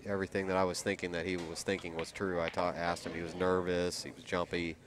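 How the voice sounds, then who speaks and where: A man's voice: low voice, flat delivery, fast. A man commentating a pool match, chatty and reacting to each shot with encouragement. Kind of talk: play-by-play commentary. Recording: noisy crowd in the background.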